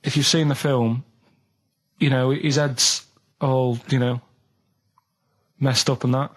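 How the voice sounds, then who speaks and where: A man's voice: mid-range voice, level tone, takes it slowly. A man with a Manchester accent chatting on a radio show, rambling earnestly and a bit reluctantly. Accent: northern English, Manchester. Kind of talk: casual radio chat.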